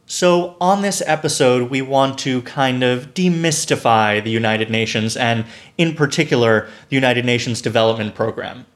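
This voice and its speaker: A man's voice: medium pitch, normal speed. Upbeat podcast host, a man. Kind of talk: scripted intro and interview questions.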